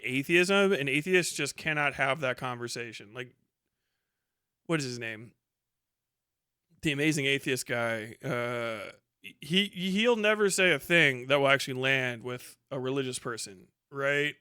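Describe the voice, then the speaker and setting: A man's voice: medium pitch, sharp tone, clear, resonant tone, dry recording, studio quality. A man delivering a casual, ranting livestream monologue in a colloquial, rambling, sweary way. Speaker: livestream host.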